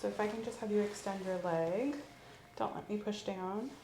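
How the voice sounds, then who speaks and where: Calm woman in clinical ASMR roleplay. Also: mid-range voice, normal speed. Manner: calm, clinical, gently coaxing instructions. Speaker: ASMR creator playing an examining clinician. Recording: roomy echo, faint background hiss.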